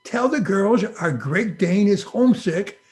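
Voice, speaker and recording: A woman's voice: mid-range voice, expressive, a little slow, darkish tone, natural voice. A woman playing a wisecracking young nephew in an old-time radio comedy, with a cheeky, smart-alecky manner.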